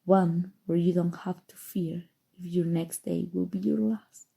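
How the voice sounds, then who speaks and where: A woman playing a possessive evil sorceress in an ASMR roleplay, speaking softly and tenderly with a breathy voice that often drops to whispers. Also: on the low side, fairly even intonation, exaggerated voice. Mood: soothing, seductive. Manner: slow, soothing, deliberate, sinister affection. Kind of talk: ASMR roleplay, intimate scripted monologue.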